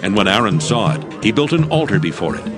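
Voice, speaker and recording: A man narrating scripture in a measured, formal, scripted style for an audio drama. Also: lowish voice, big pitch swings, over loud music.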